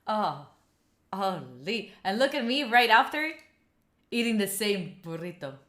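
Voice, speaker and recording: A woman's voice: medium pitch, very animated, a little slow, a bit bright. A young woman with an Italian accent chatting casually on a live stream, rambling and giggling with lots of fillers. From Italy. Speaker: study streamer.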